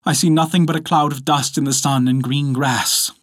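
A man's voice: on the high side, fairly even intonation, normal speed, fairly bright tone, no room sound, studio quality, pitched above the performer's own voice. A man voicing an earnest young woman keeping watch in a storybook tale. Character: watchful young woman, sister calling from tower.

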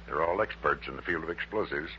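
Very deep, pompous project boss man. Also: fast talker, a little hiss or hum. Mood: formal, apologetic. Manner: formal, pompous, emphatic. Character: proud, grandiose male head of a rocket-fuel project. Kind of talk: 1960s radio drama acting. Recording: dull, humming early-1960s radio recording.

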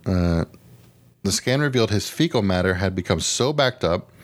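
A man's voice: deep voice, animated, moderate pace. A man co-hosting a podcast in casual, jokey chat with some crude humor, sometimes reading out odd news items. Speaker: podcast co-host.

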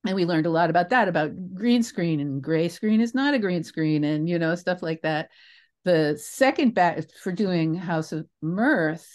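An older American woman speaks as an interview guest, her voice sitting a little low. She answers conversationally, wandering into asides and reflections, and leans on the words that matter to her. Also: expressive, measured pace, darkish tone. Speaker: interview guest, theatre creator.

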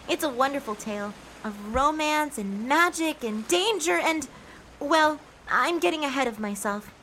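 Woman playing a gracious, high-voiced mermaid sea spirit. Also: lively intonation, a little slow, a bit bright, rough recording. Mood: gracious, welcoming. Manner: gracious, theatrical, addressing listeners as guests. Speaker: storyteller. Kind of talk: scripted fairy-tale narration in character. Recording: faint background noise and ambience.

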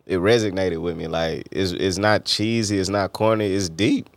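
A man's voice: deep voice, steady tone, normal speed, gravelly, dry recording, clean studio sound. A man hosting a casual interview, easygoing and encouraging, often restarting his sentences. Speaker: interview host.

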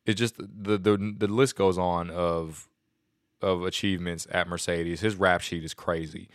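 A man hosting a casual solo podcast, excited and rambling, a little nasal and sniffly from a cold. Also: low voice, a little slow, no echo, clean studio sound. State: congested from a stomach bug. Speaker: podcast host.

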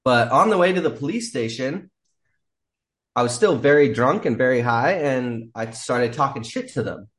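A man's voice: mid-range voice, lively intonation, moderate pace, a bit bright. A man telling a wild story as a podcast guest, rambling, laughing often and swearing freely. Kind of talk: casual storytelling.

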